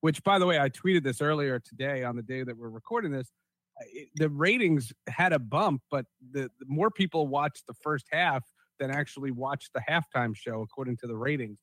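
A man hosting a podcast in casual chat, excitable and rambling, repeating words for emphasis. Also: medium pitch, moderate pace, no room sound, rough recording. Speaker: podcast host.

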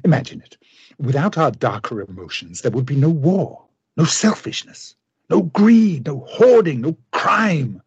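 An actor in a radio play playing an obsessive, idealistic scientist with a husky voice and an intense, grandiose, formal manner. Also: male voice, fairly high pitch, very animated, unhurried, no room sound, lo-fi sound, exaggerated voice. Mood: fervent, persuasive. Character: obsessive, idealistic male scientist with a vengeful streak.

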